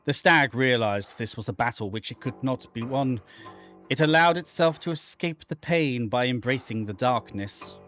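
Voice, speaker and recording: A man's voice: medium pitch, fairly bright tone, faint music behind, close to an everyday voice. Man playing a brooding, haunted man of the wilderness. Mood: grave. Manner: dramatic, literary, deliberate. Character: anguished guilt-ridden man fleeing into the wilderness, brooding. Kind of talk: dramatic reading of a horror visual novel.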